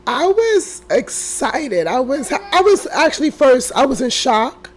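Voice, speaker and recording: A woman in her thirties, a podcast guest who is hoarse from a cold. She shares her story slowly, with many fillers. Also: high-pitched, very animated. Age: 30s. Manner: slow and unhurried, with frequent fillers. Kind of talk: casual interview, telling her story.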